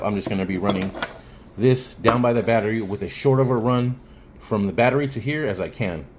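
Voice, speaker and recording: A man's voice: fairly low pitch, a little hiss or hum. A man talking through a hands-on tutorial in an informal, plainspoken way, thinking aloud as he explains. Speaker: hobbyist installer giving a how-to. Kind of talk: casual hands-on tutorial talk.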